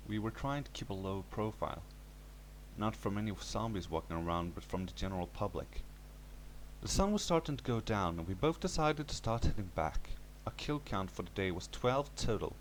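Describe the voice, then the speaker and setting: A man's voice: fairly low pitch, slight background noise, low-quality recording. A man reading a scripted first-person horror story as its narrator.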